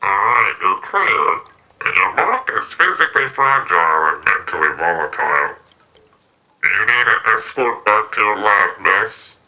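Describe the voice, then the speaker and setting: A man's voice: sits low, very animated, a little slow, pushed and bright, full character voice, poor audio. A man playing an officious, suspicious corporate security guard captain in an audio drama. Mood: questioning, curt. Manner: officious, barking orders.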